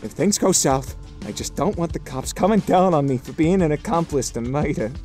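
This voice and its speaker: A curt, uneasy male criminal boss in a suit-and-office world, acted by a man, with a slightly high voice. He sounds firm in this audio drama, over light music. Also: expressive, normal speed, warm tone, close to an everyday voice. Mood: stern. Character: tense, uneasy male criminal businessman hiring an arsonist.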